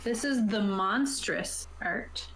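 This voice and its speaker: A woman's voice: mid-range voice, slow. A woman talking casually as a game master, explaining rules and options to players. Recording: roomy echo, light background noise.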